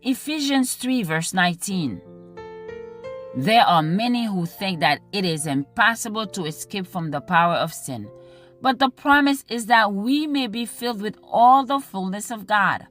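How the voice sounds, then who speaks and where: A woman reading a devotional text and scripture in a measured, deliberate manner, pausing between phrases. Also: medium pitch, expressive, fairly bright tone. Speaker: devotional reader. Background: music under the voice.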